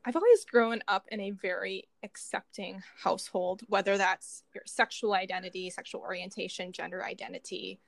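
A woman's voice: medium pitch, moderate pace, gravelly, hardly any pauses, no room sound. Young woman in dry, casual podcast talk. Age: young adult. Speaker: podcast co-host, doctoral student in psychology. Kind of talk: casual reflective conversation.

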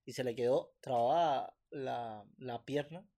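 A man's voice: medium pitch, a little slow, mellow. A man with a Cuban accent hosting a podcast in an informal, slangy, chatty way. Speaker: podcast host. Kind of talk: casual chat.

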